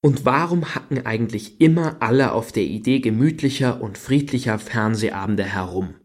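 A man's voice: medium pitch, normal speed, darkish tone, lightly acted. An actor playing a dry, wryly judgmental man in a satirical radio play. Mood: indignant.

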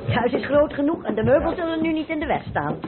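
An actress playing a painter's anxious, deferential, chatty housewife in an old radio play. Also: female voice, high voice, big pitch swings, quick pace. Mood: chatty, teasing. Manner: chatty, eager, hesitant stammering. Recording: tinny, noisy old radio recording.

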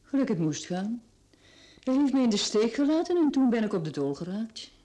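A woman's voice: a little high, very animated, normal speed, warm tone. An actress playing a faded, proud, plain-spoken woman in a radio play. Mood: resigned. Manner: resigned, frank.

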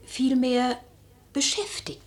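An actress playing a respectful female servant to a noble lady in a radio play, measured in manner. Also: sits high. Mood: deferential, concerned. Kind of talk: mid-century German radio play acting.

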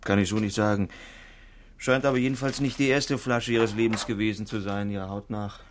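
Guy acting wry doctor man, offhand dark humour, kinda low voice, bit of background noise. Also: steady tone, brisk, lightly acted. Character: wry, flippant emergency doctor at crime scene. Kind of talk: radio crime drama acting.

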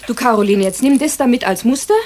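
A woman's voice: on the high side, fast talker, darkish tone, lightly acted. An actress playing a practical, caring mother with a Bavarian accent in a children's radio play. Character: brisk, caring housewife and mother. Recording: faintly hissy old radio recording.

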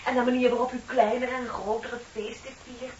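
An actress playing a chatty, flattering office secretary in her thirties in a radio play, with a polite, effusive manner. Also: a little high, brisk, close to an everyday voice. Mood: admiring. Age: 30s. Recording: dull, noisy old radio recording.

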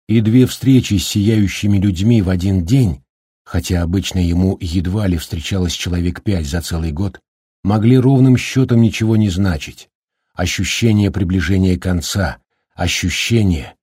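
A man narrating an audiobook in a low, dark voice with a slight husky grain. His delivery is even and measured, read into a close studio mic with a dry, dead sound. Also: dry recording. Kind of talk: scripted narration.

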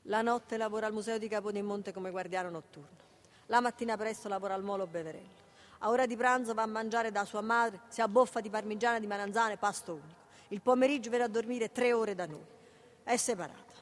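A woman's voice: medium pitch, brisk, lightly acted. An actress with a Neapolitan accent playing a harried, proud, affectionate single mother in a radio play, emphatic and repetitive. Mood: wry explaining. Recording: noisy radio theatre recording.

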